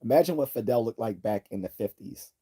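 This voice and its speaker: A man hosting a casual livestream, reading viewer comments aloud and rambling with many restarts and throat clearing. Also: medium pitch, animated, a little fast, mellow. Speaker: livestream host.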